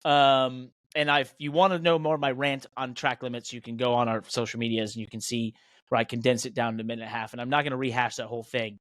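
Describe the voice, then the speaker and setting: A man's voice: mid-range voice, flat delivery, quick pace, fairly bright tone, dry recording. An American man delivers opinionated motorsport podcast talk in a mid-range voice. He is emphatic and indignant, leaning hard on his points like a seasoned host on a rant. The sound is dry, with very little room in it. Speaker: podcast host and professional race car driver.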